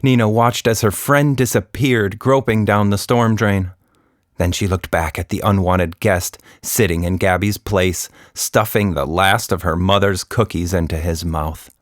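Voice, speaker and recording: A man's voice: low voice, fairly even intonation, unhurried, no room sound, studio quality. A man narrating a scripted children's story as a podcast storyteller.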